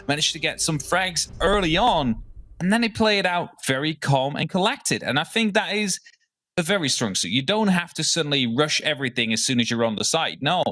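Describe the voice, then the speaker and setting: A man with a Dutch accent giving measured color commentary on a live esports match. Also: fairly high pitch, fairly bright tone, no echo. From Netherlands. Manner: measured, explanatory, hedging with fillers. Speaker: esports analyst caster.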